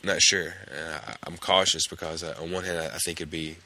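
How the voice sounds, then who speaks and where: A male podcast host recapping a TV episode in a casual, hesitant way, his voice hoarse and gravelly from a cold. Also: low-pitched, fairly even intonation, a little fast, pushed and bright. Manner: hesitant, many fillers and restarts.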